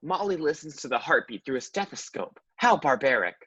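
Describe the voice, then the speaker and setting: A woman's voice: sits low, very animated, brisk, fairly bright tone, husky, dry recording, highs cut off. A woman comedian reading comedic scripted narration.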